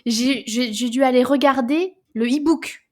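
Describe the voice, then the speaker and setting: A woman, co-hosting a casual book podcast, animated and often repeating words as she searches for them. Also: sits high, a little slow. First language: French. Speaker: podcast co-host.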